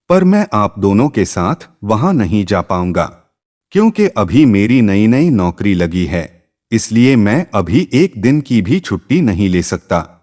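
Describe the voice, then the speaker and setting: A male storyteller plays a dissatisfied young man, a grown son in a moral tale. He talks emphatically to his parent, with lively rises and falls. The pace is slow and deliberate. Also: fairly low pitch. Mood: earnest, deferring. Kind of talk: acted dialogue in narrated moral story.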